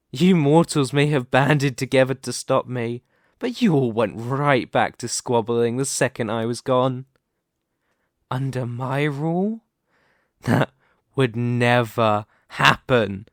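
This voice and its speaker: Male actor as a demon king, slow deliberate pace, dry close sound, grandiose, possessive, seductive menace, ASMR roleplay. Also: mid-range voice, dry recording. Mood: grandiose, proud. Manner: theatrical, deliberate, condescending, grandiose. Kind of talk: scripted ASMR roleplay monologue.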